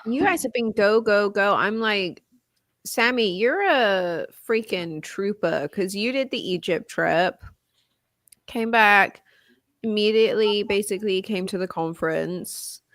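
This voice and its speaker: A woman's voice: medium pitch, lively intonation, a little slow, fairly bright tone, dry recording, clean studio sound. A woman co-hosting a livestream, talking enthusiastically and gushing about a friend. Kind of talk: casual group chat on a livestream. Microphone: video call.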